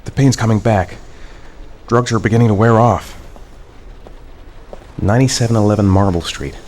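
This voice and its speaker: A man's voice: on the low side, normal speed, close to an everyday voice. A man playing a weak, pained father muttering. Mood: weary. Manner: quiet, muttering to himself. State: weak and in pain. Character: weary, injured father searching for his son. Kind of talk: inner monologue in a video game.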